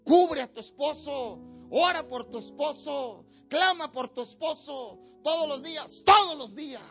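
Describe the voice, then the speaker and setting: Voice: sits high, lively intonation, a little slow, a bit bright, soft background music. A preacher delivering a sermon at a church service, with emphatic, repetitive exhortation.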